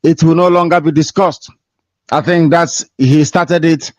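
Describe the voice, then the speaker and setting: A man hosting a call-in radio show with a somewhat high voice. He speaks in a formal, measured way. The sound is dry and dull, with a lo-fi edge. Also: steady tone, dry recording. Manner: formal, repeats station name between calls. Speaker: radio host taking calls.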